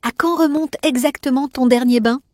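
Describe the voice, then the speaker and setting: A woman's voice: high voice, moderate pace, a little mellow, no room sound, close to an everyday voice. A woman playing a bossy but fond mother in a children's cartoon fairy tale, scolding and coaxing.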